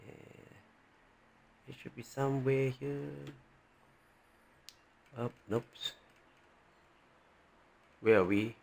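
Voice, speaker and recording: A man with a Malaysian accent giving an informal tutorial, thinking aloud haltingly and often checking in with the listener. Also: medium pitch, vocal fry, darkish tone. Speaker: tax training presenter. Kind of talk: informal tutorial walking through on-screen examples.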